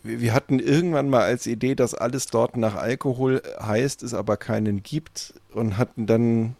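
A man of medium pitch chats informally as a podcast co-host. The sound is dry and close, free of room echo. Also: fairly even intonation, moderate pace, vocal fry, darkish tone. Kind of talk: casual chat.

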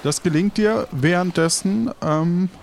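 A man's voice: sits high, a little slow, creaky, background noise. A man narrating an improvised audio play as game master, hesitant and searching for his words. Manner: hesitant, stammering repetitions.

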